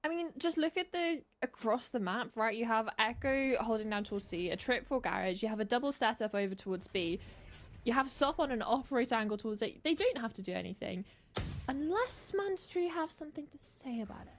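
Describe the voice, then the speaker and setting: A woman's voice: a little high, animated, a little fast. A woman giving live analytical commentary on an esports match in a conversational, explanatory way.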